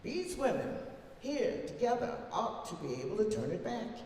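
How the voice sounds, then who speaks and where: A woman giving a dramatic, emphatic recitation of an old speech as a defiant, plain-spoken older orator, with long deliberate pauses, recorded from far off in a reverberant hall. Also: fairly high pitch, big pitch swings, darkish tone. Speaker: reader performing a historical speech. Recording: very echoey hall from far off, faint noise.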